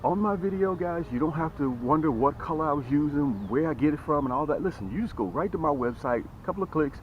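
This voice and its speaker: A middle-aged man hosting a fishing vlog from a small boat on a lake, talking energetically to camera and often adding "okay?" and "boom". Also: animated, fast talker, phone-quality sound, slight background noise. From Atlanta, Georgia area. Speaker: fishing channel host. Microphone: camera close on a boat.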